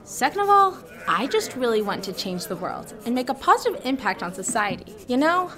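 A woman's voice: on the high side, big pitch swings, brisk, crowd noise. A woman playing a verbose, idealistic teenage girl student who chatters and says "like" a lot. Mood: eager. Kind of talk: amateur radio drama acting.

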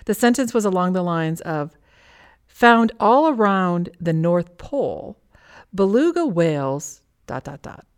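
Woman podcast host, slightly low voice, clear wording, chatting and reading out questions. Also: sing-song, unhurried. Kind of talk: hosted podcast conversation, reading listener questions.

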